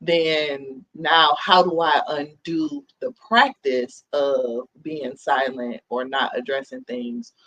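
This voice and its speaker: A man's voice: fairly high pitch, expressive, slow, few pauses, sharp tone, a little hiss or hum, low-quality recording. A man in his thirties hosting a podcast, talking in a reflective, earnest way and often checking in with "you know what I mean?". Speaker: podcast host. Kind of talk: casual chat.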